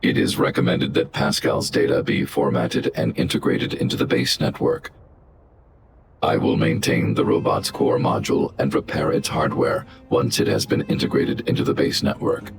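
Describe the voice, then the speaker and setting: An extremely low, gravel-edged robot guardian for a video game. The delivery is formal, calm and emotionless, like computed reasoning read out in measured phrases. Music plays clearly behind the voice. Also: male voice. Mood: flat, analytical. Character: calm, logical robot guardian, large and fatherly. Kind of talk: scripted video game voice acting.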